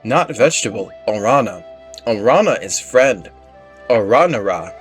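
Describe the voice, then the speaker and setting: A man voicing a small, gentle forest spirit from a game, earnest and solemn, in simple broken phrasing. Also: on the low side, lively intonation, normal speed, soft background music, close to an everyday voice. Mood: matter-of-fact.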